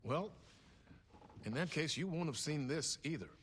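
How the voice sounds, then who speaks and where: A man's voice: a little high, expressive, moderate pace, fairly bright tone, husky. An actor playing a chatty, eager talk show interviewer who prods his guests. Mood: probing, polite. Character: smooth-talking, probing, chirpy male talk show interviewer.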